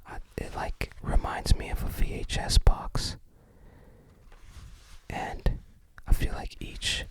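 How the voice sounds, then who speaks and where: Asmr host doing an unboxing, all breathy whispering, really slow and unhurried.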